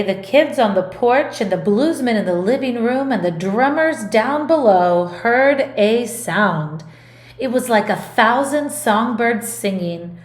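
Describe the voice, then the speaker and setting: Slow, higher-voiced woman reading a storybook aloud. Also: echoey room. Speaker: music teacher. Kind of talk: expressive picture-book read-aloud.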